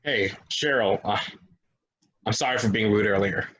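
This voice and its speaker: A man's voice: lowish voice, moderate pace, fairly bright tone, slightly rough. A man playing a rattled, defensive but earnest young man of twenty-five in a table reading of a stage play. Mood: awkward, earnest.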